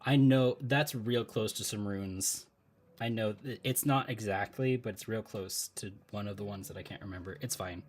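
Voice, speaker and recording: A man talking casually on a live stream while he draws, thinking out loud, laughing often and chatting with viewers. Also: fairly low pitch, normal speed.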